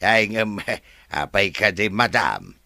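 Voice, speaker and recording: A man doing a fussing, deferential caretaker who keeps calling his boss madam. His lowish voice is bright and lively in pitch, and he talks slowly. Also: vocal fry, no room sound, clean studio sound, full character voice. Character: deferential male resort caretaker. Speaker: worker at the resort. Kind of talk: radio drama acting.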